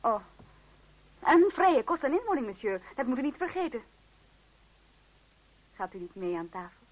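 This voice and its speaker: Lilting, coquettish young actress. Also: female voice, high-pitched, sing-song, normal speed, slightly rough, exaggerated voice. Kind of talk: radio drama acting. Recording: muffled, slightly noisy old radio play.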